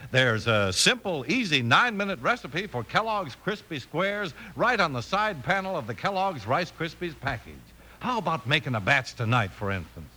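A man's voice: fairly high pitch, sing-song, moderate pace, bright, forceful tone. An American man reading a 1950s radio commercial aimed at children, with folksy, upbeat sales talk. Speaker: commercial pitchman.

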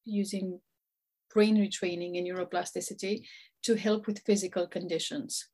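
A woman's voice: on the low side, steady tone, moderate pace, a little mellow. A woman from Romania, a coach telling her story in a podcast interview, earnest and repeating words as she searches for them. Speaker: guest, coach.

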